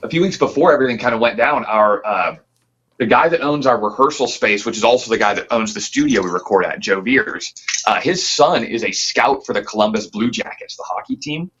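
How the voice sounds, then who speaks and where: A man's voice: medium pitch, lively intonation, brisk, fairly bright tone, husky, highs cut off. A man from the Pacific Northwest, a band member, chatting as a guest in a loose, rambling, earnest way. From Pacific Northwest, near Tacoma. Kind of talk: casual interview chat.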